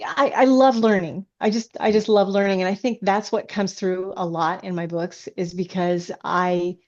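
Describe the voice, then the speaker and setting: A woman's voice: mid-range voice, fairly even intonation, moderate pace, a little mellow, muffled recording. A woman chatting as an interview guest, an author explaining things enthusiastically in a casual way. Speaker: guest, science fiction author.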